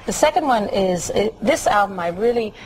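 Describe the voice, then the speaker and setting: A woman's voice: medium pitch, animated, moderate pace, darkish tone, poor audio. A woman in her thirties, a singer, answering interview questions on a TV studio set, laughing easily and thinking aloud. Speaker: singer, guest. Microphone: lapel mic. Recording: faint hiss, old TV tape.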